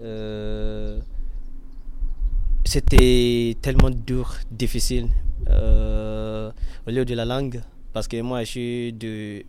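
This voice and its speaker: A man's voice: mid-range voice, dark tone, slight background noise. A young man from western Nigeria whose first language is Yoruba, talking in an interview with many fillers and restarts. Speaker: interviewee, artist and freestyle rapper. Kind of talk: casual interview in learner French.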